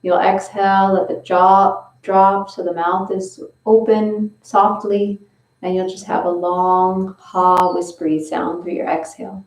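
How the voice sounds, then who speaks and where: Female yoga-style instructor, soft guided cues, recorded in a roomy space a bit off-mic. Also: medium pitch, little pitch movement, distant mic. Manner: slow, gentle step-by-step instructions. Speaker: breathing and movement instructor. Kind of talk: guided breathing and stretching session.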